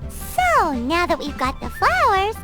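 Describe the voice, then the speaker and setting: A woman voicing a small, cheeky fairy girl companion in a video game, squeaky and childlike. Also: huge pitch swings, measured pace, heavily acted. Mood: chirpy, eager. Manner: exclaiming, cheeky, refers to herself by name. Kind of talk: video game character acting. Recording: game dialogue over loud music.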